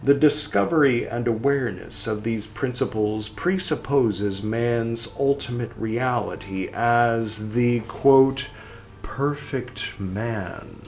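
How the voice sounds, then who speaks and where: A man hosting a podcast, reading a text aloud and speaking its punctuation, with quiet wry asides between passages. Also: mid-range voice, a little hiss or hum. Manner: reads slowly, speaking the punctuation aloud and trailing into whispered asides.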